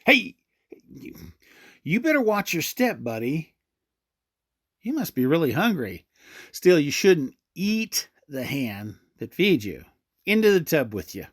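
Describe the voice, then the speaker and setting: A man's voice: fairly high pitch, very animated, slow pace, pauses often, full character voice. An actor playing a weary, troubled man in an audio drama, talking in a conversational, muttering way. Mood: playful scolding. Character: weary, troubled male searcher who lives with snake. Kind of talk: radio drama acting.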